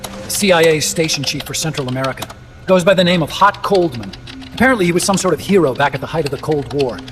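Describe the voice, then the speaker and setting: A man's voice: on the high side, lively intonation, fast talker, natural voice. A man voicing an earnest, talkative robotics engineer in a video game, explaining things in precise technical terms. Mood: earnest, explanatory. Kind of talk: game voice acting, expository dialogue. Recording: music behind the voice.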